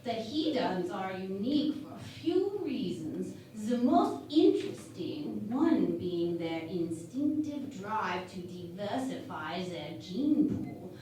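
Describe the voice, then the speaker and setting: A woman playing an officious, salacious starship doctor in a parody radio play, lecturing in a deliberate manner. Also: mid-range voice, sing-song, warm tone. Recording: very echoey room, distant mic, noise.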